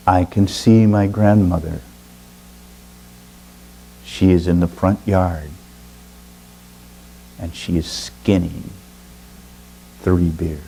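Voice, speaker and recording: A man voicing a young blind boy in a spoken story, high and breathy with wonder. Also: steady tone, takes it slowly, long pauses, warm tone, slight background noise, exaggerated voice, poor audio. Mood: hushed.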